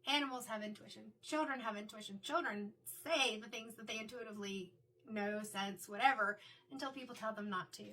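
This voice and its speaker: A middle-aged woman, a card reader and medium, talking in a chatty, reflective way, her voice a little raspy from illness. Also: mid-range voice, a little fast, bright, forceful tone, distant mic, slight background noise, rough recording. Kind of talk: casual spoken tarot reading intro.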